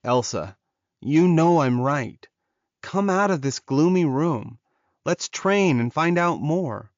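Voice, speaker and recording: A man's voice: on the high side, lively intonation, takes it slowly, dry recording, muffled recording, pitched above the performer's own voice. A man voicing a lovelorn male werewolf in an audiobook, earnest and urging as he encourages his beloved. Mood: reassuring. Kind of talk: audiobook character dialogue.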